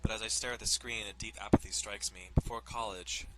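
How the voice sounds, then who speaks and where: A man's voice: on the low side, steady tone, a little fast, very noisy. A man narrating a visual novel in a casual, informal way, breaking off into joking, sweary asides. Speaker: let's player reading a visual novel aloud. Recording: loud music under the voice. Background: music.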